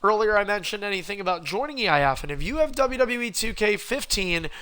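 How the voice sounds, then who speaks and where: A man with a cold, sounding hoarse, hosting a game livestream and calling the action play-by-play like a wrestling announcer. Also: sits high, lively intonation, fairly quick, bright, forceful tone. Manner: wrestling-announcer calls, rising and punchy. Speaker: stream host and commentator.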